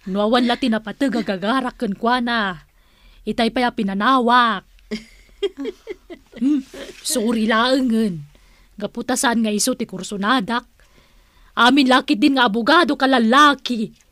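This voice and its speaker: An actress with an Ilocano accent playing a vain, playful, flirtatious auntie in a radio comedy drama. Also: female voice, a little high, measured pace, pauses often, a bit bright, no echo. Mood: huffy, smitten.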